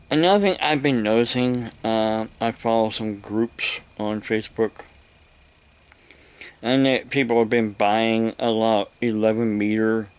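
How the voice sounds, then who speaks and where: Guy rambling casually like a vlogger, slow and halting, really clean smooth voice. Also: fairly low pitch. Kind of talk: rambling casual explanatory talk.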